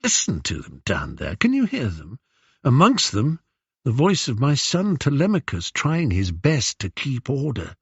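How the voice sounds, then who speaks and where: A man's voice: mid-range voice, wildly swooping pitch, unhurried, gravelly, slightly rough, dry recording, muffled recording, pitched above the performer's own voice, full character voice. A man voicing a gracious, weary queen in a storybook, speaking with formal, regal courtesy. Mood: anguished. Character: gracious, weary, dignified queen, a grown woman.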